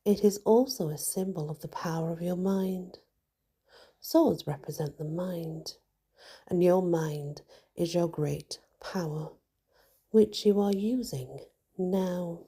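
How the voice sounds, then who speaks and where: A woman softly whispering a scripted guided meditation in a hushed, soothing ASMR style, with many pauses. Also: fairly low pitch. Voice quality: soft, breathy, mostly whispered.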